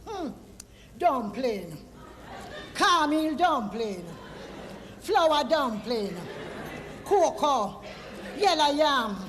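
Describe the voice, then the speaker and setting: An older woman of about seventy speaks Jamaican Patois in a high, lively voice that swings up and down. She chats and laughs as she tells her story. The clip has long reverb and faint background noise. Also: extremely slow, long pauses, a faint crowd. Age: 70s. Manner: laughing, animated, chatty.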